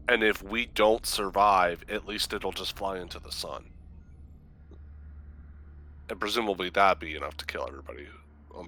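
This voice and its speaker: A man's voice: lowish voice, pushed and bright. A man playing a tabletop game, casually arguing for a plan.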